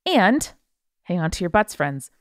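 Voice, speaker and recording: A woman co-hosting a podcast in casual chat, reading out listener mail in a playful, excitable way. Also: lowish voice, measured pace, no room sound, studio quality. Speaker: podcast co-host.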